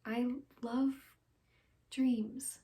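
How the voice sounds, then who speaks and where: A woman acting an earnest, conflicted young woman in a halting, pleading stage monologue of confession and farewell. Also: fairly high pitch, little pitch movement. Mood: wistful, confiding. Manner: halting, pleading, with long pauses and hushed phrases.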